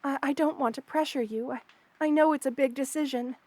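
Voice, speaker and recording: A woman voicing a kind, protective spider queen in an ASMR roleplay, speaking intimately and soothingly to the listener with hesitant pauses and formal wording. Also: high-pitched, steady tone, darkish tone, dry recording, natural voice. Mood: touched, loving.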